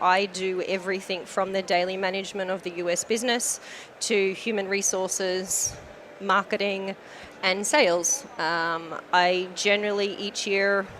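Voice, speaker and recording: A woman's voice: mid-range voice, fairly bright tone, a little hiss or hum. Chatty Australian woman guest. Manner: chatty, self-deprecating, frequent fillers. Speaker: guest, company manager. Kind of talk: casual podcast interview.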